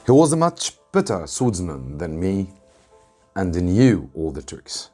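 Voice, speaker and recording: A man with a deep, mellow, somewhat husky voice reads a story aloud like a dramatic audiobook. His delivery is measured and deliberate, with emphasis on key moments. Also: big pitch swings, faint music behind. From Egypt. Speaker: English teacher reading a story aloud.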